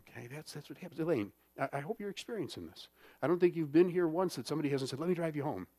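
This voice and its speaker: A man's voice: fairly high pitch, expressive, quick pace, dark tone, no room sound. A man preaching a sermon in a church, talking in a conversational way and often checking in with the congregation. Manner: conversational, many fillers and check-ins. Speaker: pastor.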